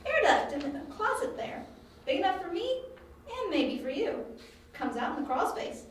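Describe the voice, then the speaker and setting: A woman's voice: very high-pitched, very animated, moderate pace. A woman playing a plucky, streetwise homeless girl in an amateur audio drama, pert, teasing and independent. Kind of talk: hobby audio drama acting. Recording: very echoey, distant, faint hiss.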